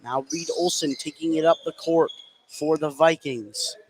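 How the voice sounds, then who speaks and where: A man's voice: a little high, fairly even intonation, a little slow, a little hiss or hum, rough recording. A man giving live play-by-play commentary on a basketball game for radio.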